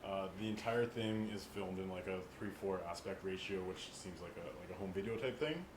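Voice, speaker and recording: A man's voice: low-pitched, fairly quick. A man on a podcast panel, chatting casually and explaining films in an earnest, rambling way. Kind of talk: casual chat about films. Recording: echoey room, clear background noise.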